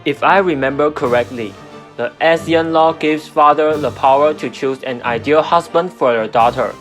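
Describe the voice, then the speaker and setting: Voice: mid-range voice, normal speed, soft background music, close to an everyday voice. An amateur actor playing a stern, stubborn father who speaks in an indignant, commanding way in a radio drama. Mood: indignant complaining.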